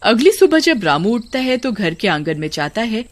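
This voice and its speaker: A woman's voice: on the high side, big pitch swings, normal speed. A woman narrating a scripted folk tale as a storyteller.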